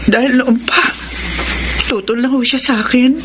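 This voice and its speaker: High-voiced, tender Filipino mother, acted by a woman. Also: fairly even intonation, moderate pace, background noise, lightly acted. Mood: sorrowful. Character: gentle, sorrowful, faithful mother. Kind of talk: radio drama acting. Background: music.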